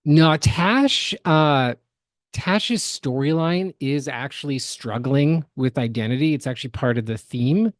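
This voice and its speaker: A man's voice: mid-range voice, a little slow, no room sound. Analytical man giving dry-room podcast commentary. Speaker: podcast co-host reacting to game cutscenes. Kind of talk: casual critical commentary.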